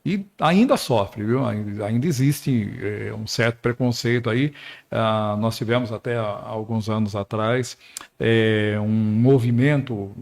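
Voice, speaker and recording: A man's voice: on the low side, slow, vocal fry, a little mellow. A man hosting a podcast, asking questions in a chatty way with tag questions and asides. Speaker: podcast host. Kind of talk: casual interview questions.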